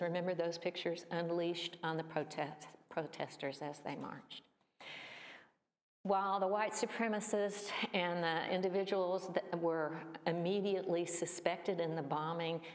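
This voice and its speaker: Woman, 60s, lowish voice, church presentation, pausing, fillers, reverberant hall. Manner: unhurried, thoughtful, with fillers and pauses. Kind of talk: slideshow talk.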